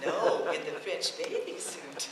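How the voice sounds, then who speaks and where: A woman's voice: low-pitched, wildly swooping pitch, measured pace, full character voice. Echoey radio comedy, dithering older landlady. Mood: chatty, admiring. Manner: dithering, rambling, easily sidetracked. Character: scatterbrained, absent-minded older landlady, kindly and chatty. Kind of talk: vintage radio comedy acting before a laughing audience. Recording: echoey old radio stage recording, faint noise.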